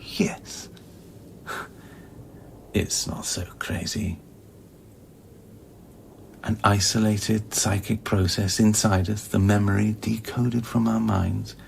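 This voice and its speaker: An actor in a radio play, playing a bitter, volatile space-station scientist who philosophizes intensely and haltingly, dropping at times toward a breathy near-whisper. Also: male voice, lowish voice, steady tone, a little mellow, slight background noise, heavily acted. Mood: grave, uneasy. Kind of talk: dramatic radio play acting.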